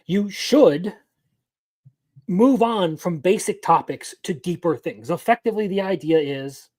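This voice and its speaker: A man's voice: sits high, animated, unhurried, a little mellow. A man in his twenties giving casual live-stream commentary as an anime news host, opinionated and rambling, answering his chat as he goes. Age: 20s.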